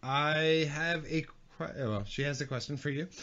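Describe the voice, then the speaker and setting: A man's voice: a little high, moderate pace, pushed and bright. A man hosting a podcast who rambles and repeats himself while reading viewer questions. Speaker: podcast host. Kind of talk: casual chat, reading viewer comments. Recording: dull lo-fi stream audio.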